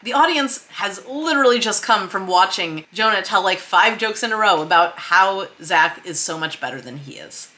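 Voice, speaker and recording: A woman's voice: medium pitch, lively intonation, normal speed, hardly any pauses, pushed and bright. A woman hosting a writing tutorial, explaining conversationally and reading excerpts aloud, leaning on key words for emphasis. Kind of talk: explanatory screen-share lesson, reading script excerpts aloud.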